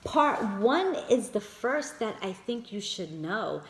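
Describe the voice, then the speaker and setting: A woman's voice: mid-range voice, big pitch swings, slow, a little hiss or hum. A woman reading a picture book aloud to young children, stopping to point out the pictures and calling her listeners friends. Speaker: children's storyteller. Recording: echoey room.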